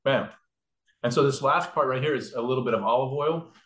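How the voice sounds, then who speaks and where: A man's voice: mid-range voice, a little fast, a bit bright. Rambling man cooking in an echoey kitchen. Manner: rambling, explains step by step with fillers. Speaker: cook demonstrating a recipe. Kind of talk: casual cooking demo. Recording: echoey room.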